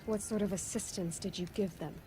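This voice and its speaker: A woman's voice: mid-range voice, fairly even intonation, brisk, close to an everyday voice. An actress voicing a young female hunter in a game, speaking in a wry, skeptical, direct way. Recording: game audio with loud battle noise.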